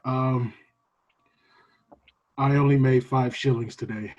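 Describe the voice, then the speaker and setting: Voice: mid-range voice, steady tone, slow pace, a little mellow, highs cut off, voice pushed down. An improviser playing a worn-out, hard-up dad in a comic radio play. He is callous and talks hesitantly, half-laughing through his lines. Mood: weary. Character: weary, poor, callous father in an absurd household.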